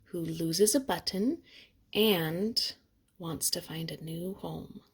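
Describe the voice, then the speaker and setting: A woman narrating a children's picture book aloud in an expressive storytelling manner. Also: fairly low pitch, slow, a little hiss or hum. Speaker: storybook reader.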